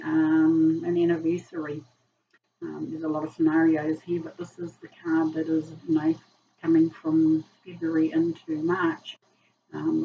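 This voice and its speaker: Croaky older woman, tarot reader, rambling with ums, gravelly, distant dull camera mic, faint hiss. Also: low-pitched. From New Zealand. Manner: rambling, many ums and "sort of type thing". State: croaky from a virus. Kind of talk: casual tarot reading to camera. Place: small room, indoors.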